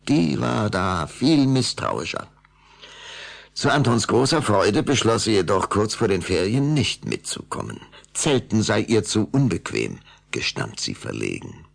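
A man narrating a German children's audio drama as an expressive storyteller. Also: deep voice, creaky.

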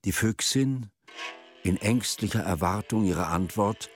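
A man narrating a radio play as a storyteller, in a deliberate, expressive manner. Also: fairly low pitch, faint music behind.

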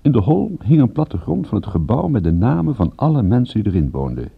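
An actor playing a wry, laconic private detective in a radio play, dry and ironic in dialogue and narration. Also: male voice, lowish voice, sing-song, brisk, gravelly, husky, narrow, tinny audio, full character voice. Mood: wry narrating. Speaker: lead actor and first-person narrator. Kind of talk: Dutch radio drama acting and narration.

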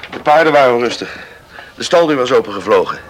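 An actor in a Dutch radio play playing an earnest, sober island farmer. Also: male voice, mid-range voice, lively intonation, fast, a little hiss or hum, natural voice, poor audio. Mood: practical. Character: earnest, plain island farmer, husband, concerned.

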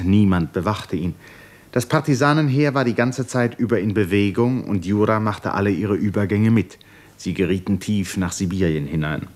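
An actor as the grave narrator of a 1950s German radio drama, telling the story in a measured, literary manner. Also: male voice, fairly low pitch, close to an everyday voice.

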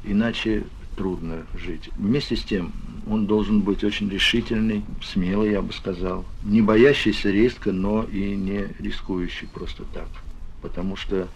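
A man explaining things in an interview as an experienced explorer, measured and emphatic. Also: on the low side, fairly even intonation. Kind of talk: reflective, explanatory interview talk. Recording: dull old recording with faint noise.

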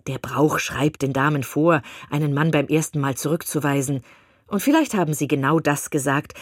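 A woman reading as a pompous male clergyman from a classic novel, long-winded and condescending. The voice sits low and slightly husky. The sound is dry and close. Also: a little mellow, dry recording. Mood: patronizing. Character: pompous self-satisfied male clergyman suitor.

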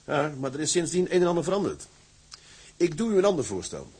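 A male actor playing a resolute, stubborn tugboat captain in a drama, with a rough, husky voice and a firm, formal manner. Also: a little high, big pitch swings, fast talker, a little mellow. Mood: determined.

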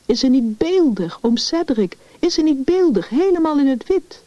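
Radio story role: earnest, imaginative little girl , acted by a woman, mellow tone, dull lo-fi sound. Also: sits high, sing-song, normal speed, no echo, pitched above the performer's own voice. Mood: delighted. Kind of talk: Dutch radio story reading.

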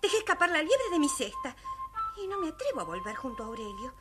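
Una actriz que interpreta a una joven princesa altanera que se finge dulce pastora, afectada y teatral, en un cuento infantil. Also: female voice, high voice, big pitch swings, fast talker, over loud music. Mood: dismayed. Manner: affected, theatrical. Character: haughty young princess posing as sweet shepherdess. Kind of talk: children's audio story acting.

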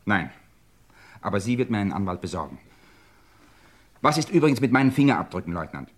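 Radio crime play: cornered suspect, lowish husky voice, swinging from pleading to sardonic. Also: male voice, very animated, brisk. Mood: urgent, pleading. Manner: urgent, sardonic, emotional. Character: accused man, desperate, exasperated, sardonic. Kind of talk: German radio crime drama acting.

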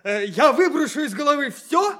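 An actor in a radio play playing an eager, flustered, curious fellow who speaks excitably with hesitations and stammered repeats. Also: male voice, extremely high pitch, normal speed. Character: eager, flustered, naively curious host to a visitor.

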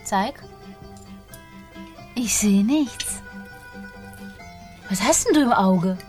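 A woman's voice: medium pitch, lively intonation, extremely slow, darkish tone. Flirty nurse, teasing, takes her time, faint music behind, radio play. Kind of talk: radio drama dialogue.

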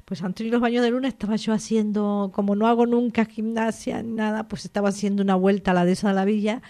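A woman born in Argentina talks over her writing in an easygoing interview. She wanders from thought to thought and stops to search for words, at an ordinary pitch for a woman. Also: level tone, quick pace, warm tone. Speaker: author. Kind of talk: relaxed interview conversation.

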